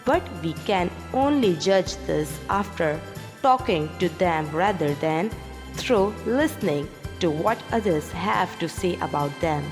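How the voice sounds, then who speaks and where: Slow, animated woman storyteller with long reverb. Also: fairly low pitch. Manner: deliberate, clearly pronounced delivery. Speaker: children's storyteller and teacher. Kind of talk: scripted story narration for children. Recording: long reverb, music behind. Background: music.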